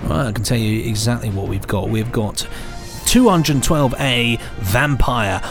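A man's voice: mid-range voice, a little slow, soft background music. A man co-hosting an audio drama news podcast with enthusiastic, upbeat presenting. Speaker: podcast co-host. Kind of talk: casual chat.

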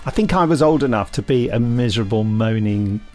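A man's voice: mid-range voice, lively intonation, fairly quick, over music. A British man hosting a podcast in casual comic banter, with a playful, theatrical, mock-pompous manner. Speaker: podcast host.

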